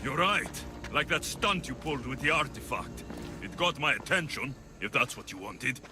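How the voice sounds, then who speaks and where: A man's voice: medium pitch, lively intonation, normal speed, music in the background, close to an everyday voice. An actor playing a hostile young warrior confronting his estranged sister in a video game cutscene, with a contemptuous edge. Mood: cold. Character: bitter young male warrior, estranged brother.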